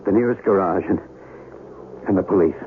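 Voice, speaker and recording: An actor playing a practical, agitated middle-aged scientist in an earnest, halting, urgent way, in a 1970s radio drama. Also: male voice, low-pitched, natural voice. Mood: pleading, flustered. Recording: tinny lo-fi radio recording, faint noise.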